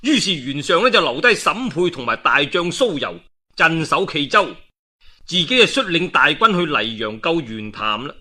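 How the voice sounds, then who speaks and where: Animated, bright-voiced Cantonese male storyteller. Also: on the high side, normal speed. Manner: colloquial and expressive, with rhetorical asides. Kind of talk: dramatic oral storytelling of a historical novel.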